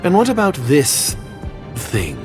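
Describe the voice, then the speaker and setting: An actor playing a wary, condescending conspirator in a video game, speaking in a measured, cautious way. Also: male voice, a little high, huge pitch swings, exaggerated voice. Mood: suspicious. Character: wary, secretive, condescending male foreign conspirator. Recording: music under the voice. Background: game music.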